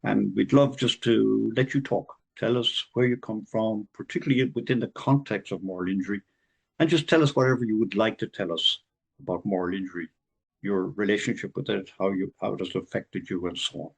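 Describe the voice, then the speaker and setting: A man's voice: lowish voice, a little fast, vocal fry, husky. A man hosting a podcast from Ireland, talking in a rambling, informal way with many fillers. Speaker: podcast host. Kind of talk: podcast interview.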